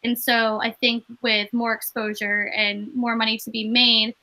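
Young comedian woman, bright and a touch high-pitched, rambling casual guest. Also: flat delivery, measured pace. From Maine. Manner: chatty, lots of "like" fillers. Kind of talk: casual interview chat.

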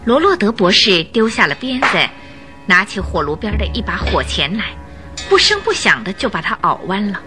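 A woman's voice: mid-range voice, big pitch swings, moderate pace, over music. A woman narrating a radio drama in a dramatic, scripted storytelling style.

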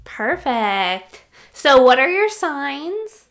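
A woman hosts a relaxed podcast and sounds slightly stuffy from a cold. She speaks slowly and a little high, with a bubbly, chatty manner full of "like" and "um.". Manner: bubbly, chatty, lots of likes and ums. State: has a cold. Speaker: podcast host. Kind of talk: casual podcast chat.

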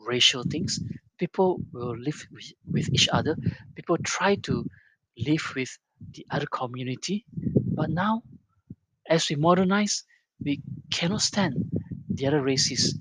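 A man's voice: fairly high pitch, a bit bright, slightly rough, no echo, rough recording. A man in his fifties from Malaysia, giving an earnest and reflective personal monologue with rising emphasis.

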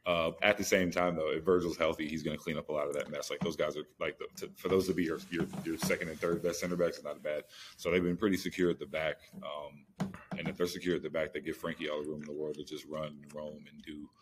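Fast, very deep-voiced man talking sports. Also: level tone, background noise. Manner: informal, slangy, repeats phrases. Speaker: sports podcast co-host. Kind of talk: casual sports analysis.

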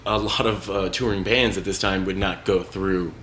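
Low-voiced, profane male podcast host. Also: fairly even intonation, normal speed, background noise. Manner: loose, profane, rambling. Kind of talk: casual chat.

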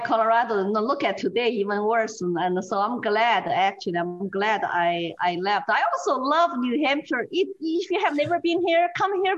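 Chinese-accented woman, earnest and animated. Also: a little high, dull audio. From China. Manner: earnest, animated, with frequent fillers and restarts. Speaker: guest, former political candidate. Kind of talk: interview over a call.

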